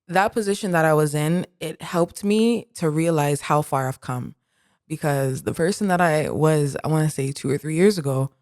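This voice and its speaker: A woman with a very low voice co-hosting a podcast. She talks in an animated, reflective way, full of "like" fillers. Her voice is close-miked and dry, in a quiet room. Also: moderate pace, no room sound. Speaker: podcast co-host. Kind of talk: casual conversation.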